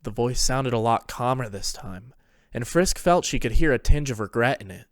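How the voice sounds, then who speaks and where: A man's voice: mid-range voice, animated, normal speed, dry recording, studio quality. A man reading scripted dramatic narration as the storyteller of a fantasy tale.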